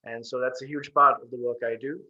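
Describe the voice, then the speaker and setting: Sri guy in his 30s, bright voice, earnest, dull webcam sound. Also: medium pitch, steady tone, a little fast. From Sri Lanka. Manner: earnest, fluent, with frequent "uh" fillers. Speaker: guest, peace organization founder. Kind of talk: video-call interview. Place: home room with bookshelves. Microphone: video call webcam.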